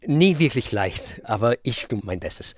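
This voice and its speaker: A man's voice: medium pitch, expressive, measured pace. A man from Russia, a pianist answering questions in a radio interview, thoughtful and searching for words. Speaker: guest pianist.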